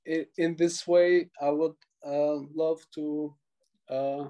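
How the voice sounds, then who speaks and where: A man, speaking over a video call from a small room, earnest and halting with frequent pauses. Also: on the high side, little pitch movement, warm tone, clean tone, dull audio. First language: Albanian. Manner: earnest, many pauses and restarts. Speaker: tribute speaker. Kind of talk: halting prepared remarks.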